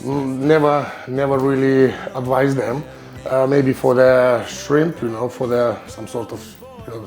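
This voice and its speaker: A man's voice: mid-range voice, darkish tone. A man from Lithuania with a Lithuanian accent, hosting his cooking channel in English, which is not his first language, searching for words with many ums and restarts. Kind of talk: casual cooking vlog.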